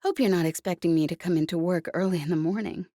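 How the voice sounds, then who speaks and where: Woman reader as cocky female space smuggler, low, teasing, casual clipped delivery, audiobook. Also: fast talker, mellow, no echo, studio quality, close to an everyday voice. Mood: teasing, suggestive.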